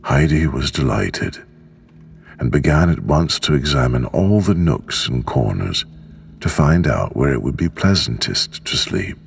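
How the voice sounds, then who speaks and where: A man reading a bedtime story as narrator, slow, soothing and expressive, with soft music underneath. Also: very deep voice, vocal fry.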